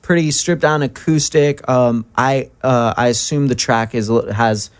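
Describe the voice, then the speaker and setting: A man hosts a relaxed radio session from a studio, introducing a band and chatting with them. He talks in a friendly, loose way, with ums and restarts, at an average pitch. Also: a little slow, clear, resonant tone. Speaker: radio host. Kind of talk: casual radio interview.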